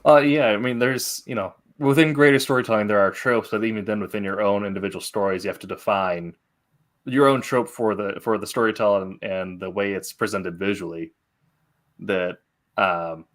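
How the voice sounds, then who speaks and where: A man with a fairly low voice hosts a casual interview. He speaks in a halting, long-winded way, circling around his questions and adding commentary as he goes. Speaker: interview host.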